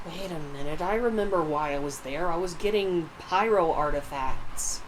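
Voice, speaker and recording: A woman's voice: lowish voice, normal speed, slight background noise, low-quality recording. A woman livestreaming a video game with casual, profane commentary, often muttering to herself.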